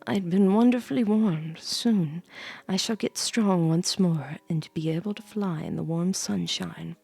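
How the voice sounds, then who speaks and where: Frail, grateful fairy-tale swallow, read by a woman, soft and earnest, slightly low. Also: a little slow, vocal fry, breathy edge, exaggerated voice. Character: weak, grateful swallow recovering from cold.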